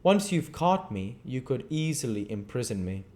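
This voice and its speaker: A man's voice: mid-range voice, very animated, moderate pace, darkish tone, pitched above the performer's own voice, natural voice. A man voicing a fanatical, megalomaniac schemer in a storybook reading, with emphatic, declamatory delivery. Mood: scheming, intense.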